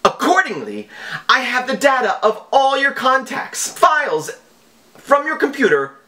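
Guy putting on an over the top excitable hacker scammer, high voice swinging all over, drags words out, comic reading. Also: exaggerated voice. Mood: gloating, menacing. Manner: overwrought, exclamatory, drawn-out words. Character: melodramatic, excitable male scam-email hacker making threats.

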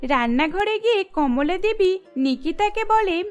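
A woman narrating a cartoon story in an even storytelling manner. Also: very high voice, measured pace, faint music behind.

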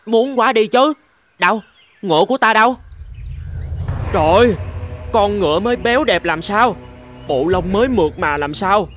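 A man's voice: sits high, lively intonation, normal speed, pauses often, fairly bright tone, slight background noise. A man voicing a naive, good-natured young peasant in a cartoon fairy tale, eager and wide-eyed.

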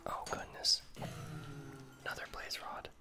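A man's voice: unhurried, over music. Anxious whispering man playing a game. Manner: soft whisper, anxious self-coaching, repeats words when panicking. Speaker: gaming video creator. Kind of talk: whispered ASMR gameplay commentary.

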